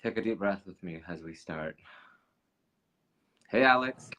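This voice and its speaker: A man's voice: deep voice, expressive, a little slow, bright, forceful tone. A man in his forties hosting a casual livestream chat from his living room over a video call, upbeat and chatty, slightly nasal from allergies. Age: 40s. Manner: upbeat, chatty, reads comments aloud. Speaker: host.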